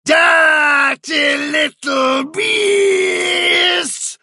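A man's voice: sits high, pitched above the performer's own voice. A man voicing a frail, feverish rabbit seer speaking as if in a trance in a storybook reading. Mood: shrieking frenzy. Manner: slow, drowsy, muttering or yelping. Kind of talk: audiobook character acting.

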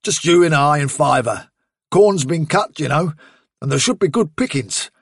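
A hearty, confident rabbit warrior from a storybook , read by a man, British and bluff. The voice is gravelly and a bit husky, with chummy, plain-spoken delivery. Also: a little high, expressive, moderate pace, a bit bright, no room sound, studio quality, heavily acted. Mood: cheerful, musing.

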